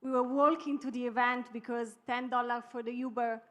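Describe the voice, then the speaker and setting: A woman's voice: a little high, steady tone, a little fast, fairly bright tone. A woman in her twenties from Europe telling her career story as a guest speaker. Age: 20s. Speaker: summit guest, network marketer. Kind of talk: testimonial storytelling.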